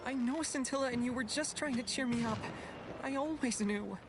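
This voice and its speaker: A woman playing an earnest, wounded youngster in a video game cutscene, speaking in a halting, emotional way. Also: high-pitched, music in the background, close to an everyday voice. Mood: apologetic. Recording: loud game effects behind voice.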